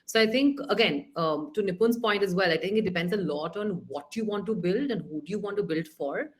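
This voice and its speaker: A woman, a founder speaking on a panel over a video call, animated and thinking aloud with frequent restarts. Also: mid-range voice, fairly quick. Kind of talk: panel discussion.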